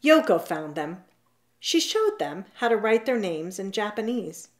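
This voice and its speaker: A woman reads a children's picture book aloud. Her pitch is lively and swoops around while the pace stays slow, with expressive, drawn-out storybook phrasing. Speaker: storytime reader. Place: indoors at home, seated on a leather couch.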